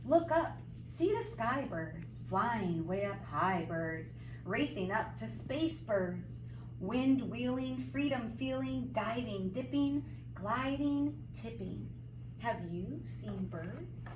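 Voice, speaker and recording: A woman reads a children's book aloud in an expressive, sing-song teacher's delivery. Her pace is unhurried and her pitch swings widely from phrase to phrase. The recording is roomy, picked up at some distance, with faint noise behind her. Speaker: teacher reading a storybook aloud. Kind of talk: expressive children's read-aloud with simple asides.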